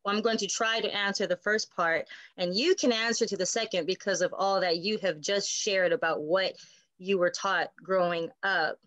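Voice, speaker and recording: A woman's voice: medium pitch, steady tone, moderate pace, fairly bright tone, dry recording, low-quality recording. A woman pastor co-hosting a Bible study, explaining conversationally and often checking in with "right?".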